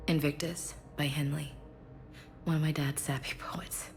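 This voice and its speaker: A woman playing a grieving, guarded soldier and big sister in a sci-fi game, speaking in a hush. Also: low-pitched, flat delivery, measured pace, creaky, soft background music. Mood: hushed, solemn. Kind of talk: naturalistic game dialogue acting.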